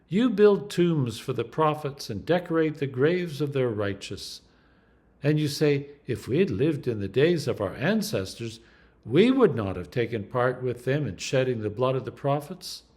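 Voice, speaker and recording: Man, Anglican priest, scripture reading, unhurried, lively rising and falling pitch. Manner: slow, deliberate, emphatic reading.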